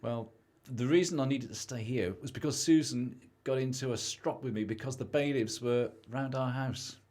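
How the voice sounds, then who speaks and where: A young British man playing a selfish, excitable married debtor who thinks he's rich, in an amateur radio play. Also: mid-range voice, moderate pace, natural voice. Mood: offhand.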